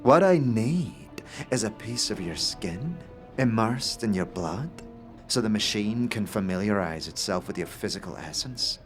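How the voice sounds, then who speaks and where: Eccentric male inventor, played by a man, fantasy audio drama: slow, cryptic, grand delivery with big swings in pitch, faint music beneath. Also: expressive. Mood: matter-of-fact. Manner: theatrical, grandiose, dramatic pauses.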